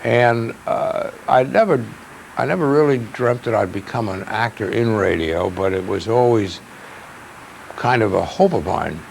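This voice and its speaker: An older man, a veteran radio actor, reminiscing in an interview with measured, deliberate phrasing. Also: lowish voice, gravelly. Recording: noticeable background noise.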